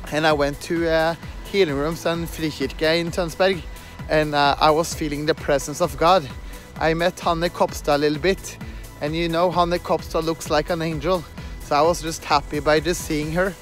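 A man's voice: fairly high pitch, fairly even intonation, faint music behind. Norwegian-accented man vlogging on a night walk. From Norway. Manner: halting, many fillers and restarts, earnest. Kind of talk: casual walking vlog. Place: outdoors at night on a street. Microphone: phone camera held at arm's length.

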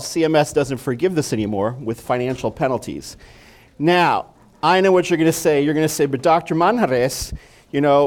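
A man's voice: a little high, darkish tone. Friendly man giving a medical lecture. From Mexico. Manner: friendly, conversational, engages the audience with questions. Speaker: physician lecturer. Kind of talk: conference lecture. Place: dark stage, indoors.

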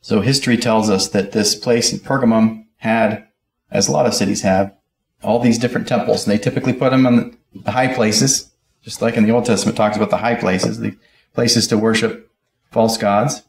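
A man's voice: on the low side, steady tone, normal speed, vocal fry, roomy sound. A middle-aged man preaching a sermon at a pulpit, reading scripture aloud and explaining it verse by verse. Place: church auditorium, speaking at a pulpit.

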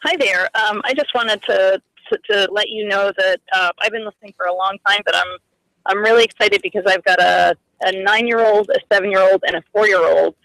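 A woman's voice: medium pitch, brisk, vocal fry, fairly bright tone, no echo. A woman calling in to a radio show, talking casually and with enthusiasm. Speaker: caller. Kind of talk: casual phone-in talk. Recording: phone call on radio.

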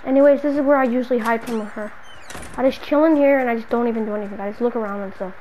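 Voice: medium pitch, rapid-fire, lo-fi sound, a little hiss or hum, natural voice. A young performer playing a brash, exasperated young man in an improvised game roleplay, slangy and repeating bro and man.

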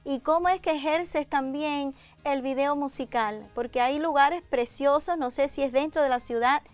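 A woman's voice: high-pitched, normal speed, faint music behind. A middle-aged woman hosting a conversational interview programme in a studio. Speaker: programme host. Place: indoor TV studio set with armchairs.

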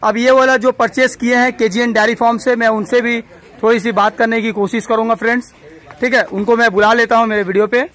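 Man vlogger, high voice, salesy repetitive patter, phone mic in a busy cattle shed. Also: fairly quick. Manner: excited salesy patter, repeats himself. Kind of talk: live walkthrough vlog. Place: crowded indoor cattle shed.

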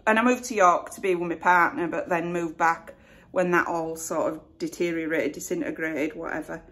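A woman in her forties with a West Yorkshire accent, chatting to camera at home in a relaxed, self-deprecating way. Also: on the low side, normal speed. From Northern England. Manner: chatty, self-deprecating, lots of "you know". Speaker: YouTuber. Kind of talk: casual Q&A chat to camera. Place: home room with flowers behind.

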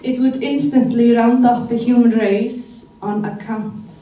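A young woman poet reading her poem aloud in a slow, deliberate manner at a stand mic in a small room. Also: on the high side, fairly even intonation, mellow. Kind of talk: poetry reading. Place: small gallery room with audience. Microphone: stand mic, filmed from far camera. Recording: echoey room from far off.

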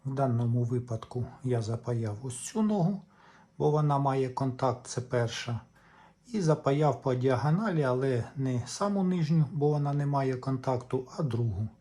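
Mellow-voiced man giving instructions. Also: medium pitch, animated, moderate pace. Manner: methodical, explains in short, piecemeal phrases. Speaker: repair tutorial host. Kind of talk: unscripted step-by-step hands-on instruction.

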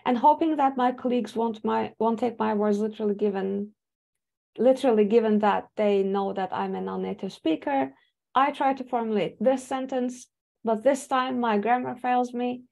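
Gravelly-voiced woman. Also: fairly high pitch, moderate pace, mellow. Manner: thoughtful, frequent fillers and restarts. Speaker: academic speaker. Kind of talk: webinar talk reading from slides. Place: home room indoors. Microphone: video call webcam.

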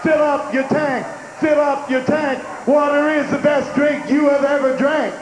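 An older man with a high, unhurried voice jokes and leads chants into a handheld mic on an outdoor festival stage. His voice booms back off the stage's PA with a long echo. Crowd noise hums behind him. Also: steady tone. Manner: shouted crowd chants, goofy puns. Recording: echoey outdoor PA with loud crowd.

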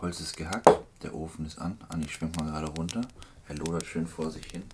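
A man filming a casual fishing vlog outdoors by a river, chatty and getting excited as he fishes. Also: very deep voice, noisy background. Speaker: fishing vlogger. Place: outdoors by a river and in a small hut.

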